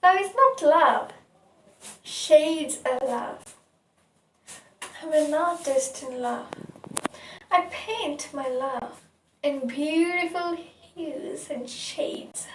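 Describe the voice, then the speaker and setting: Very slow, high-voiced woman reciting poetry. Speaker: poet reciting own poem. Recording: echoey room, distant mic.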